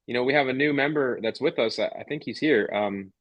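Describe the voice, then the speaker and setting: A man's voice: medium pitch, fairly quick, dry recording, highs cut off. A man born and raised in Hawaii co-hosting a casual livestream, thinking aloud and often repeating words. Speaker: co-host.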